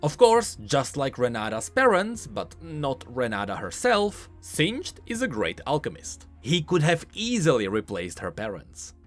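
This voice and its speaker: Animated man explaining game lore. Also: medium pitch, a little slow, hardly any pauses, soft background music. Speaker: video game lore commentator. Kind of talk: scripted explanatory commentary.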